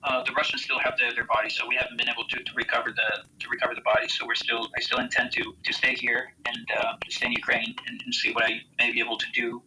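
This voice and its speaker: American guy being interviewed, really sharp bright voice, halting but earnest, bit of noise on a remote line. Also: medium pitch, little pitch movement, poor audio. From United States. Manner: halting, searching for words, earnest. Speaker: interviewed volunteer soldier. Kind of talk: interview answers.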